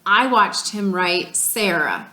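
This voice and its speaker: A woman's voice: medium pitch, slow pace. Woman reading a storybook in an echoey room. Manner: expressive, addressing children directly. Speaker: third grade teacher reading aloud. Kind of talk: storybook read-aloud.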